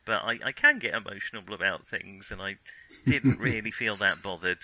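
Male podcast co-host, very bright, forward voice, dry close sound, hesitant and self-qualifying in casual film talk. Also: fairly low pitch, normal speed, dry recording, low-quality recording.

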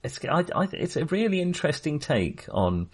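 A man's voice: a little high, very animated, normal speed, husky. A man co-hosting a film podcast, talking casually in a tentative, self-qualifying, agreeable way.

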